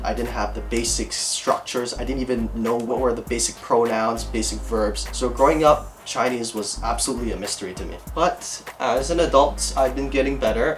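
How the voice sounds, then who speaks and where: A young man with a Canadian accent, chatting earnestly and straight to his viewers from a green screen room at home. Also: mid-range voice. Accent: Canadian English. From Canada, Greater Toronto Area. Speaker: YouTuber. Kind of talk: casual direct-to-camera chat.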